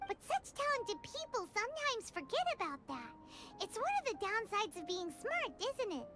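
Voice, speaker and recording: A woman voicing a tiny, childlike fairy companion in a video game, squeaky, bubbly, cheeky and dramatic. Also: very animated, normal speed. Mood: chatty, flattering. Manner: bubbly, dramatic, speaks of herself in third person. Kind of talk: scripted video game dialogue. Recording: loud background music under the voice.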